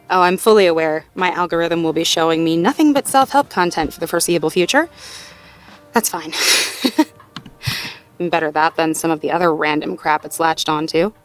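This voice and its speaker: A woman playing a supportive, playful girlfriend in an intimate one-sided roleplay audio, talking gently and encouragingly with light teasing and natural hesitations. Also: low-pitched, normal speed, long pauses, soft background music, natural voice. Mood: playful, amused. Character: supportive, playful girlfriend helping partner problem-solve.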